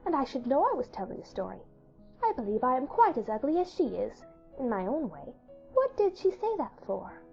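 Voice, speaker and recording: A woman's voice: sits high, sing-song, brisk, gravelly, a little mellow, lo-fi sound, soft background music, voice pushed up, exaggerated voice. A woman voicing a solemn, frank, imaginative little girl in an earnest way for an audiobook drama. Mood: earnest, puzzled. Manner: earnest, serious.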